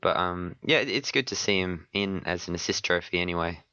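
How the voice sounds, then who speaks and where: Low-voiced man, sick and a bit hoarse. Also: a little fast, pushed and bright, no echo, lo-fi sound. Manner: hesitant, stammering restarts. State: sick, partly lost voice. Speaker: podcast co-host. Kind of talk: casual chat.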